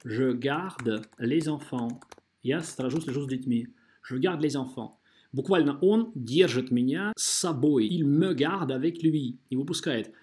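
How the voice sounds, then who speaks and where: A man's voice: mid-range voice, measured pace, darkish tone. A man giving a language lesson as a teacher, explaining didactically and carefully modelling pronunciation. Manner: didactic, drawing out model phrases syllable by syllable. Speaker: French teacher. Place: voice-over on a screen recording.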